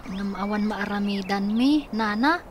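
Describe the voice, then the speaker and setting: A woman plays a troubled young orphan in an Ilocano radio drama. The voice is mid-pitched, plaintive and respectful. A little noise runs behind it. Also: a little slow, natural voice. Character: meek, troubled orphaned youngster fleeing cruelty.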